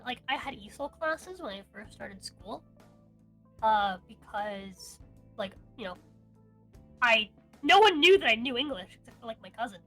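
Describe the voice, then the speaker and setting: A young woman with a high, bright, forceful voice chats in a loose, giggly way. She swears freely and gets excitable as she talks. Spanish is her first language, and she comes from a Cuban family in the US. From United States, of Cuban parents. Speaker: online streamer being interviewed. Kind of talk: casual chat.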